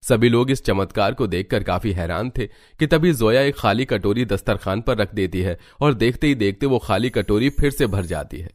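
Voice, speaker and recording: A man with a fairly low voice narrates a cartoon story. His delivery is scripted storytelling, telling events in the third person. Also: moderate pace, hardly any pauses, no echo.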